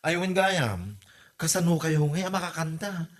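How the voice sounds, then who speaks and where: A man's voice: fairly high pitch, animated, unhurried. A man acting a scolding, gossipy villager in an Ilocano comedy audio drama, with an Ilocano accent. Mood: scolding, mocking.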